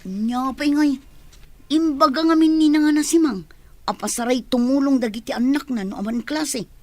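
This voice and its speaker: Woman acting a high-voiced young student daughter. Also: normal speed, a little mellow, close to an everyday voice. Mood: matter-of-fact. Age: young adult. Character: earnest young student daughter pleading to study. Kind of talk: Ilocano radio drama acting.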